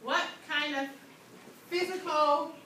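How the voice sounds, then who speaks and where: A woman's voice: high-pitched, fairly even intonation, takes it slowly, sharp tone, distant mic. A woman teacher giving classroom instruction, asking lots of questions and checking that her class understands. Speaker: English teacher of a grade 7 class. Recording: echoey classroom from afar, faint noise.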